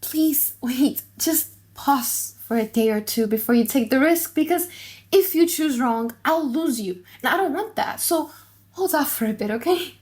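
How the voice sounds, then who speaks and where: Woman acting a lovestruck young heiress, lightly high. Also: expressive, a little mellow. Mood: pleading, tender. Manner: halting, sighing, giggly. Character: lovestruck young heiress, anxious, playful, rambling.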